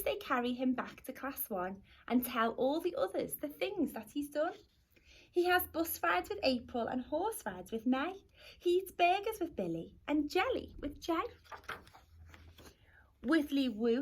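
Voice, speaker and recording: A woman teacher reading a rhyming picture book aloud to young children in an expressive storytelling manner. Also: sits high, breathy edge. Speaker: primary school teacher.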